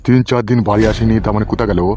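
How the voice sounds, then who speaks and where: A man's voice: on the low side, little pitch movement, racing, natural voice. A man voicing an exasperated, foul-mouthed village father-in-law in a comedy cartoon. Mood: questioning. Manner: blustering, exasperated. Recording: muffled audio with music underneath.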